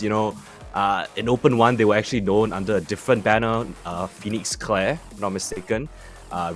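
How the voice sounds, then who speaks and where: A young man from Singapore with a Singaporean English accent, giving relaxed esports desk analysis full of fillers. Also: lowish voice. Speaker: esports caster.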